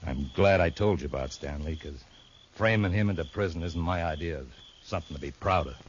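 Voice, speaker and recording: A man playing a deep-voiced shifty saloon henchman. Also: a little fast, lo-fi sound, lightly acted. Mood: confessing. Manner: defensive, blustering then confessing. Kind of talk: 1950s radio drama acting. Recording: faint hiss of an old radio recording.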